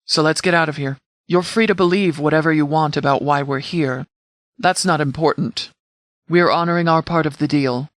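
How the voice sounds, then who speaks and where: A man's voice: on the high side, moderate pace, dry recording, clean studio sound, lightly acted. Stern, formal sheriff in command , acted by a man. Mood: dry, firm. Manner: formal, clipped, authoritative military phrasing. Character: stern, composed male sheriff commanding a militia department. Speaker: commanding officer. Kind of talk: scripted video game dialogue.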